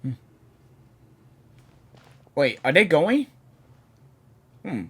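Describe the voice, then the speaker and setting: Female game streamer, slightly raspy, very animated pitch, thinking out loud in a casual way. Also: extremely slow. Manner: musing, wondering aloud. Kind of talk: casual reaction commentary.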